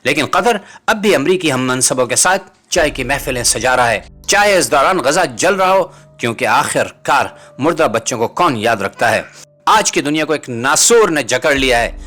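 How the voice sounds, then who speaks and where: A man's voice: mid-range voice, fairly bright tone. A man reading scripted political commentary as a host, in a rhetorical, bitingly sarcastic manner. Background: music.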